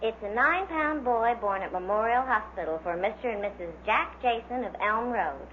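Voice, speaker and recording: A woman's voice: on the high side, expressive, moderate pace. A woman working as a radio announcer, reading scripted items in a vintage broadcast style. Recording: dull old radio recording with hiss.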